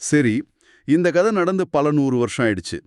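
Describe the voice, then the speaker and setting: Animated man co-hosting, very dry sound. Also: on the high side, a little slow, clear, resonant tone, dry recording. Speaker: podcast co-host asking questions and summing up. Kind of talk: scripted conversational discussion.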